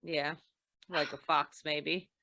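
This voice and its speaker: A woman playing a tough, wry female insurance investigator in an old-time radio noir, clipped, deadpan and probing. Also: sits low, takes it slowly, no echo, full character voice.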